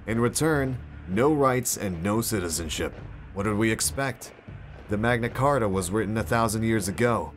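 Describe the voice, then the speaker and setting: Low male voice, cynical guarded commander, dry and dismissive, acted by a man, faint music. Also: expressive, moderate pace, close to an everyday voice. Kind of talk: dramatic comic dub acting.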